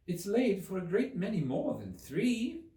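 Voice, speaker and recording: Curious, proper little storybook heroine, played by a man: higher-pitched, emphatic, indignant, in a roomy sound. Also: sing-song, a little slow, dark tone, distant mic. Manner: polite but indignant, emphatic. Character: prim, indignant, curious young girl Alice in storybook.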